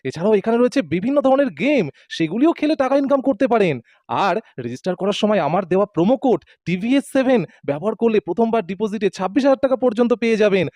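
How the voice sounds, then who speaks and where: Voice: mid-range voice, expressive, very fast, darkish tone, no room sound. A presenter reading a scripted advertisement. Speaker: promo presenter.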